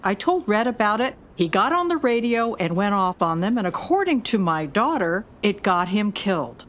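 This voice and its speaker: A woman's voice: medium pitch, big pitch swings, moderate pace, a bit bright. An actress playing a tough, blunt American record label boss and worried mother, middle-aged, in an audio drama. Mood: worried, urgent.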